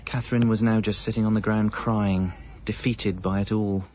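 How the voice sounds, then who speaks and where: A man's voice: on the low side, little pitch movement, normal speed, darkish tone, lo-fi sound, natural voice. An English man playing a hapless, evasive young father, narrating and talking in a radio comedy with a hesitant, wry manner. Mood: wry, anxious. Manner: hesitant, self-justifying, wry. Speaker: narrator and lead character.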